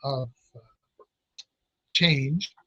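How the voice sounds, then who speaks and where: A man's voice: medium pitch, extremely slow, a bit bright, breathy edge, dry recording, dull audio. A man, a clinical hypnotist, explaining his work in a casual interview, with frequent fillers and restarts. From Italian American family.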